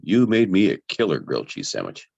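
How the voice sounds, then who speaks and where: A man's voice: sits low, huge pitch swings, fairly quick, creaky, darkish tone, slightly rough, heavily acted. A man playing a sincere, slightly awkward young expectant father in a dramatic script table read, heard through a dull, lo-fi microphone. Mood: fond, reminiscing.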